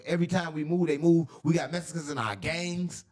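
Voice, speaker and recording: A man's voice: fairly high pitch, fairly even intonation, fairly quick, a crowd in the background. A man from the American Midwest with an American accent hosts a casual talk show, arguing in slangy, emphatic bursts and repeating himself. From St. Louis, American Midwest. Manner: emphatic, repetitive, argumentative, slangy. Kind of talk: casual heated banter.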